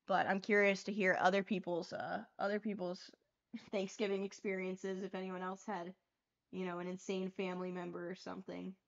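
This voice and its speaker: A young woman hosting a casual livestream from her bedroom, chatting freely and swearing as she paints, with a voice that is getting hoarse. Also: lowish voice, little pitch movement, moderate pace, muffled recording. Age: young adult. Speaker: livestream host. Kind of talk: casual chat while painting cards. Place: small bedroom. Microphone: lapel mic.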